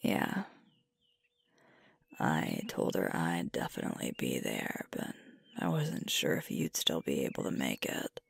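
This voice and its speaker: A woman playing a sweet, sleepy alpha werewolf girlfriend in an intimate ASMR roleplay, speaking in a gentle, husky, unhurried murmur close to the microphone. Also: very deep voice, big pitch swings, no room sound, exaggerated voice. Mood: sleepy, soft. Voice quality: breathy, husky with creaky fry. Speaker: ASMR performer. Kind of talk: ASMR roleplay, intimate whispered and soft-spoken. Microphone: close mic, dry.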